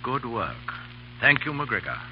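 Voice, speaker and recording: An actor playing a stern, commanding army colonel with clipped military speech in a 1930s radio drama. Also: male voice, mid-range voice, big pitch swings, measured pace, music in the background. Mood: businesslike. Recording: dull, hissy 1930s radio recording.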